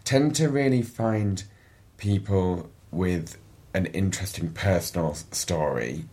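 Husky low-voiced Yorkshire man. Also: a little slow, dark tone. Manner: chatty, repeats words when starting sentences. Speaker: guest, events agency director. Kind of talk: casual interview chat.

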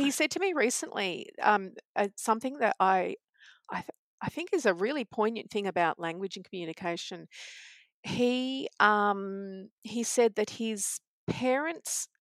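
A woman in casual conversation, reflective and earnest, pausing with frequent ums. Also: mid-range voice, pushed and bright, no room sound.

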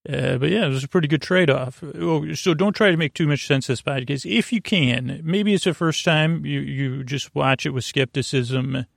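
Creaky-voiced man rambling you to sleep. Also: on the high side, no room sound, studio quality. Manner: meandering, halting, goofy tangents and self-interruptions. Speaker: podcast host. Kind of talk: rambling bedtime monologue for sleep.

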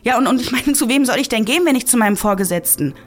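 Earnest, halting policewoman. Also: on the high side, expressive, faint music behind. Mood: insistent, uneasy. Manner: halting but insistent, repeats words. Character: earnest, principled policewoman standing her ground. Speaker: police officer. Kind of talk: naturalistic audio drama dialogue.

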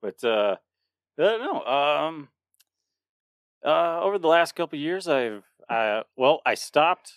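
A man's voice: a little high, big pitch swings, takes it slowly, no echo, studio quality. Laughing, rambling man in his thirties. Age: 30s. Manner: rambling, laughing often, many restarts. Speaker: podcast co-host. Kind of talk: casual chat.

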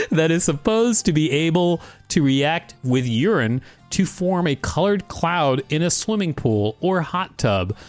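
A man co-hosting a podcast, chatting casually and reading an article aloud with wry, unhurried banter. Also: fairly high pitch. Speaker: podcast co-host.

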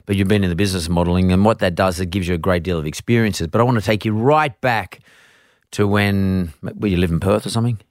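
A man's voice: deep voice, fast talker, no echo, studio quality. A middle-aged man hosting a podcast interview, chatty and rambling, often restarting his sentences. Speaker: podcast host. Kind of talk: conversational interview.

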